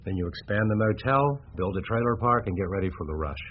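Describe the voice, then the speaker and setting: An actor playing a cool, confident younger schemer in a radio drama, speaking in clipped, assured phrases. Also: male voice, fairly low pitch, animated, fast. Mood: cool, commanding. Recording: muffled radio broadcast, faint noise.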